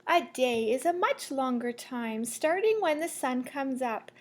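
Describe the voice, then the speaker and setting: A woman reading a children's picture book aloud like a teacher, in a measured, sing-song storytelling way. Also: sits high, expressive. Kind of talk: children's read-aloud storytelling with asides to listeners.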